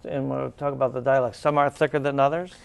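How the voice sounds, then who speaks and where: A man hosting a talk show interview, speaking haltingly with many uhs and restarts. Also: mid-range voice, flat delivery, vocal fry, clear, resonant tone. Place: cable TV studio.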